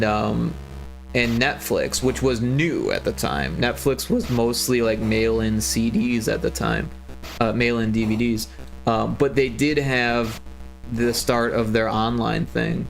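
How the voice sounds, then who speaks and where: A forty-year-old man talking loosely on his stream, drifting from point to point and circling back with fillers. His voice has a gravelly, fry-laden edge at a middling pitch. Faint music sits quietly behind him. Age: 40s. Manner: rambling, chatty, lots of fillers and restarts. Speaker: livestreaming game developer. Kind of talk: casual livestream chat.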